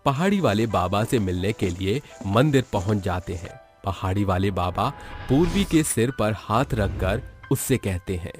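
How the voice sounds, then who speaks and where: A man narrates a dramatic cartoon story in a low voice with lively, swinging intonation. The delivery is scripted storytelling, with music playing behind it. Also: a little slow, few pauses. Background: background music.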